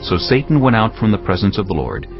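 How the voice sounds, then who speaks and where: A man narrating scripture in a grave, measured way. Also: on the low side, very animated. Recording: dull lo-fi recording. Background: cinematic music.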